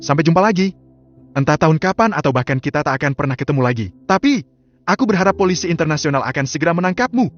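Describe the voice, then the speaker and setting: A fed-up, scornful wife, as a male storyteller puts her on, her voice pitched up and cutting. She fires sharp, accusing questions. The sound is a little muffled, with soft music underneath. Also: animated, quick pace, lightly acted. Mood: bitter.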